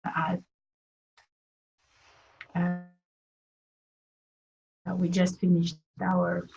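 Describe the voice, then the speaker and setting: Woman, low voice, measured. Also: completely flat delivery, vocal fry, a little mellow, muffled recording. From Hungary. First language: Hungarian. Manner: measured, pausing often to find words. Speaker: guest, English teacher and teacher trainer. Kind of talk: webinar interview self-introduction. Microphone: video call.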